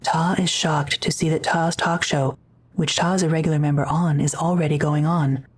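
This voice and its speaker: A woman's voice: sits low, fairly even intonation, normal speed, husky. A woman reading scripted narration for an audio drama as its narrator. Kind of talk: scripted narration, present tense.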